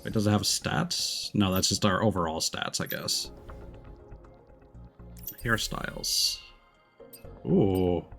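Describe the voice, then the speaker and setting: A man's voice: deep voice, slow pace, gravelly, long pauses, a bit bright. A man giving laid-back, noncommittal commentary while livestreaming a video game. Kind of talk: casual gameplay commentary. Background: game music.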